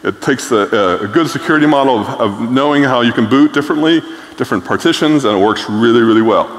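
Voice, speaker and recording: A man's voice: mid-range voice, fast. Blunt middle-aged man in an echoey hall. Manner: blunt, enthusiastic, frequent "um" fillers. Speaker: keynote speaker. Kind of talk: conference keynote talk. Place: large conference stage.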